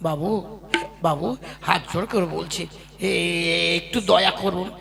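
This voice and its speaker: Worried, pleading ship trader. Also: male voice, high-pitched, fairly even intonation, measured pace, vocal fry, crowd noise, exaggerated voice. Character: anxious male ship trader carrying produce. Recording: voice over loud music.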